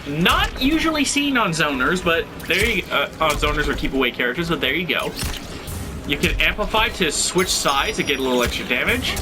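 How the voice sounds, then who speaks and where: A man with a slightly stuffy nose, hosting a tutorial and talking through fighting game moves in a casual, analytical way. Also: fairly high pitch. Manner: analytical, conversational, thinking aloud. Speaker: video game guide host. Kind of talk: gameplay tutorial commentary.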